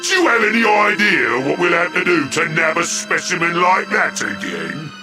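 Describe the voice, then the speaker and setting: An actor playing a pompous, scheming, hot-tempered monster boss in a video game, with theatrical, gloating delivery and sudden shouts. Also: male voice, fairly high pitch, sing-song, normal speed, over music. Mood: furious. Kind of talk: video game cutscene acting.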